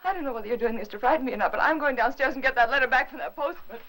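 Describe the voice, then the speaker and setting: Scared housewife in old 50s movie, bright highish voice, earnest n breathless. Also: female voice, racing. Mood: defensive. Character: anxious, pleading, frightened young housewife. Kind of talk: 1950s Hollywood film acting. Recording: vintage 1950s film soundtrack with music.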